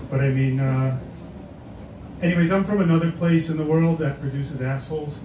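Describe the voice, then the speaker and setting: A man's voice: on the high side, normal speed, heavy background noise. Wry stand-up guy, far and echoey. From Boston area, USA. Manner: wry, self-mocking, hesitant with fillers. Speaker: stand-up comedian. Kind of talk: stand-up comedy set. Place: comedy club stage. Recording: echoey club audio from a distance.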